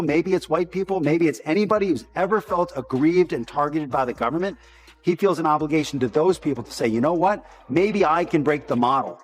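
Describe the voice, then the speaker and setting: A man speaking off the cuff as an interviewee, explaining his view earnestly. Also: fairly high pitch. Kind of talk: spontaneous spoken commentary.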